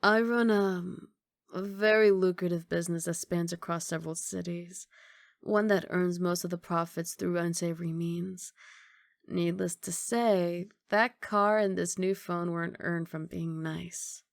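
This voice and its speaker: Casting: actress as wistful female mafia boss girlfriend for ASMR roleplay. Soft, gravelly, guarded but loving, very dry close sound. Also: on the low side, fairly even intonation, a little slow, no room sound. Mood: guarded, matter-of-fact. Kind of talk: intimate ASMR roleplay monologue.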